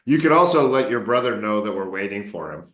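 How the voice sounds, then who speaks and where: A man coaching English students in a casual online class, relaxed and encouraging, often joking with them. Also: fairly low pitch, fairly quick, roomy sound. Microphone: video call.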